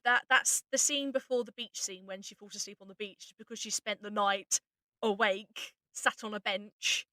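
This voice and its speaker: A woman chatting informally about audio drama as its writer and voice actor, explaining things in a casual, animated way. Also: medium pitch, normal speed, dry recording, low-quality recording. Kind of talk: casual behind-the-scenes chat.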